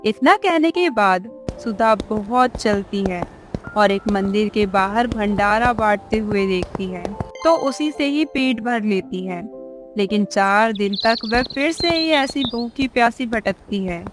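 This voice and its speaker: Female story narrator: scripted delivery, unhurried, light music underneath. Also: medium pitch.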